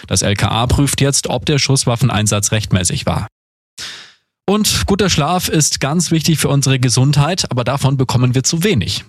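Male newsreader, mid pitch, slightly husky, dry studio sound. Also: dry recording. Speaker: radio news presenter. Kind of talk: scripted news reading.

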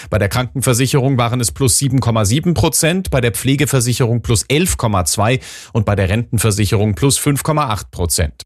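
Trocken aufgenommener Nachrichtensprecher, mittlere Lage. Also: male voice, medium pitch, no room sound, clean studio sound. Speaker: radio newsreader. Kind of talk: formal scripted news bulletin.

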